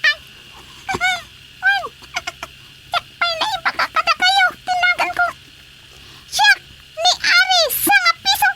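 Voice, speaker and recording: This is an extremely high, insistent comic character in an Ilocano radio drama. The voice nags and urges in broad comedy style. The recording is very noisy, with animal sounds around it. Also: fairly even intonation, slow pace, pauses often. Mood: explaining.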